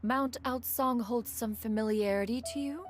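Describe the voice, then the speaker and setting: A woman's voice: fairly high pitch, moderate pace, natural voice. Stately, formal mystical lady played by a woman over music. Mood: reassuring. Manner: stately, formal, archaic phrasing. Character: dignified, assured mystical guardian lady, archaic and formal. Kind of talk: video game voice acting. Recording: prominent music behind the voice.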